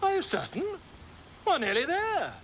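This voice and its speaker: A man's voice: very high-pitched, wildly swooping pitch, moderate pace, a bit bright, a little hiss or hum, full character voice. A man playing a pompous, eccentric bard and would-be surgeon in a video game, speaking in a florid, fussy, over-confident manner. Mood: fussy concentration. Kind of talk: video game character acting.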